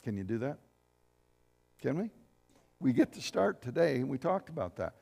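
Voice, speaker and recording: A gravelly-voiced man in his seventies preaches from the pulpit of a church, with a long reverb hanging after his words. He reads verses aloud and asks the congregation questions. His pitch sits in an ordinary middle range for a man. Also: sing-song, moderate pace, warm tone. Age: 70s. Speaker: pastor. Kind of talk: sermon. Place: church sanctuary.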